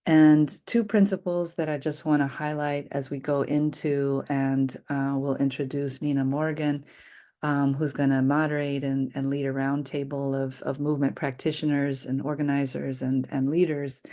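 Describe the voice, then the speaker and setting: Event speaker, mellow relaxed voice, even intonation, prepared remarks in an informal register, online meeting. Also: medium pitch, normal speed. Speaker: event speaker introducing a movement assembly.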